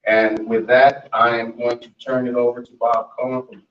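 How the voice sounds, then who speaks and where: Man, meeting host handing over to a speaker, halting delivery, far-off mic in a roomy space. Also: mid-range voice, little pitch movement, a little hiss or hum. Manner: hesitant, with repeated words. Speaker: community organization host. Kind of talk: introducing a speaker. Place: meeting room. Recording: dull, echoey room audio from far off.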